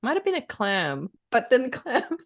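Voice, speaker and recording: A woman's voice: fairly high pitch, wildly swooping pitch, measured pace. A woman co-hosting a podcast in casual chat, giggly and rambling, saying "like" a lot. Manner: giggly and rambling, heavy use of "like". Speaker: podcast co-host.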